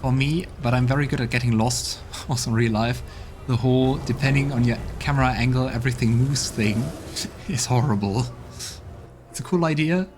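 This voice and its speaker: A man's voice: mid-range voice, moderate pace, background noise. A man hosting a role-playing game let's play, chatting casually and musing aloud as he plays. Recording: game music playing behind.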